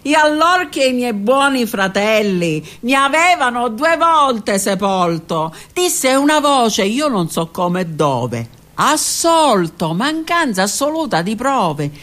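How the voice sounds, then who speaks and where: A woman's voice: a little high, sing-song, takes it slowly, few pauses, fairly bright tone, voice pushed up, full character voice. A woman voicing a brash male prisoner who wants to be an actor, reciting a poem with big emphatic stresses. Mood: declaiming. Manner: declaiming poetry with emphatic dramatic stresses.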